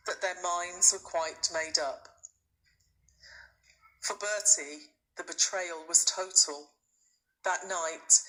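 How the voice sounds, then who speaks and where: A woman reading a children's story aloud as a teacher would, in expressive scripted narration. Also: fairly low pitch, rough recording.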